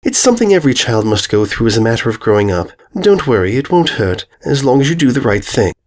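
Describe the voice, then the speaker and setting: A man's voice: medium pitch, very animated, fast talker, darkish tone. A man voicing a refined female pony, a motherly older sister who speaks in a proper, soothing, cooing way in a storybook reading. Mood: reassuring.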